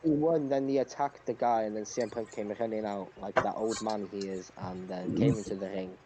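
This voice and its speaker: A man's voice: lowish voice, steady tone, brisk. A man co-hosting a podcast, casually recapping news, recorded on a dull lo-fi mic with faint noise. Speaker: podcast co-host.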